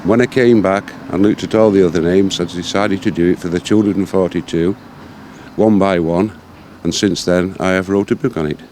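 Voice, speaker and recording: A man's voice: low voice, steady tone, normal speed, darkish tone, slight background noise. An older man with an English accent, speaking plainly as he recounts his research in an interview. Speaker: interviewee, local researcher and author. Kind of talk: interview recollection.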